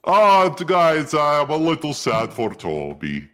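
A silly, cheerful mock-fellow, put on by a man, hamming it up. His voice sits a little high and leaps all over the place, playful and theatrical. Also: huge pitch swings, takes it slowly, fairly bright tone, exaggerated voice. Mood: hammy. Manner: hammy, sing-song comic voice. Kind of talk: joking movie commentary.

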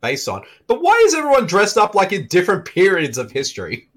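A man from Canada hosts a casual movie riff, joking and breaking into laughter. His voice sits high and bounces around a lot. He often slips into quoted lines. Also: huge pitch swings, brisk, fairly bright tone. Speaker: movie commentary host. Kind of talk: casual riffing commentary.

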